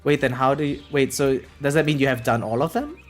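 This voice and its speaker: A game streamer chatting casually with viewers, excitable and sweary, reacting aloud and reading bits of game text. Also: medium pitch, brisk, soft background music.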